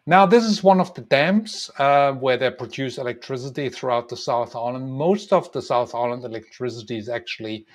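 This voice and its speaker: A man's voice: medium pitch, moderate pace, hardly any pauses. Middle-aged male tour guide explaining routes. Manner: relaxed, many ums and uhs, explaining. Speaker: tour guide and travel designer. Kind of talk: casual map walkthrough narration.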